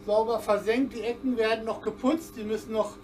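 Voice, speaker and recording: A man's voice: high voice, fairly even intonation, fairly quick, darkish tone, far from the mic, slight background noise. A man in his fifties explains hands-on work step by step in a casual demonstration, recorded indoors in a small workshop on a camera a step or two away. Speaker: sailing channel host.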